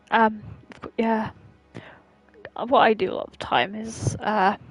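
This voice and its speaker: A woman's voice: medium pitch, no echo, rough recording. A woman chatting casually as she live-streams a game, in a rambling, repetitive way. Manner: rambling, repeats herself. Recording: faint game music behind.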